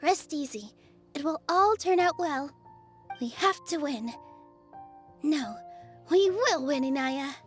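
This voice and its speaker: Sweet earnest young heroine, high soft voice, sincere, a little timid, video game, music underneath. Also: female voice, lively intonation, slow, long pauses. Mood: earnest, hopeful. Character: gentle, earnest, nervous young woman dancer. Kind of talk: video game character acting. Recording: music behind game dialogue.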